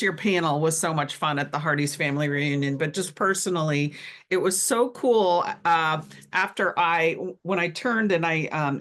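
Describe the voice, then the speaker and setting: A woman's voice: lowish voice, a bit bright. A woman hosting a podcast interview in a casual, informal and enthusiastic way.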